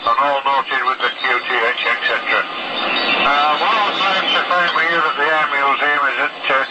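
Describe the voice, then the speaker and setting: A man's voice: a little high, steady tone, moderate pace. A man working as an amateur radio operator, chatting hesitantly over a shortwave link and spelling things out in phonetic alphabet. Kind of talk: ham radio contact chat. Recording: very noisy shortwave radio reception.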